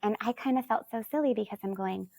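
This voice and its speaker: A woman teacher from Alaska answers interview questions, thinking aloud as she goes and often circling back on herself. Her voice has a slightly gravelly, fry-tinged texture. The sound is dry and close, with no room around it. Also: medium pitch, fast, a little mellow, no echo. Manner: thinks aloud, rambling with restarts and "you know". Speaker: third-grade teacher, guest.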